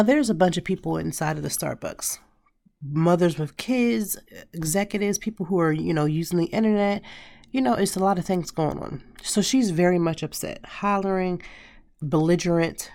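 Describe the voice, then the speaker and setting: Low raspy woman, hoarse with a cold, chatty podcast host storytelling, very dry sound. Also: a little mellow, no room sound. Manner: chatty storytelling, talks straight to listeners. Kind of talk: casual solo podcast monologue.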